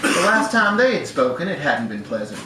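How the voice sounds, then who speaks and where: A man's voice: on the high side, sing-song, moderate pace. Man reading fiction in a noisy, echoey room. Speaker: story reader at a live literary event. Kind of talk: live reading of literary short fiction. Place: bar room at a live event. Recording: echoey, noisy live room, distant mic.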